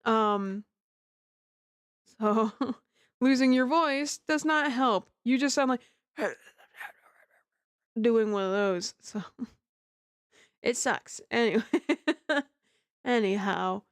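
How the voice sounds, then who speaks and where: A woman's voice: fairly high pitch, extremely slow, pauses often, no echo, studio quality. Giggly woman streamer chatting casually. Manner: giggly, rambling, self-deprecating. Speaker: livestreamer, amateur voice actor. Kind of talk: casual chat while livestreaming a game. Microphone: close-up microphone. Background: music.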